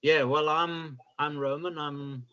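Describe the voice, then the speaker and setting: A man's voice: a little high, steady tone, sharp tone, clean tone. German-accented guy on a video call from home, earnest, lots of ums, kinda dull lo-fi sound. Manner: earnest, halting, many fillers. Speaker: public submitter. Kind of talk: public hearing submission over video call.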